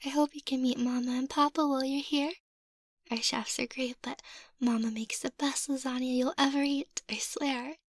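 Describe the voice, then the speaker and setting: A woman voicing a playful, excitable young vampire mistress with a childlike, eager manner in an ASMR roleplay. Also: high voice, moderate pace, no echo, studio quality. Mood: chatty, fond.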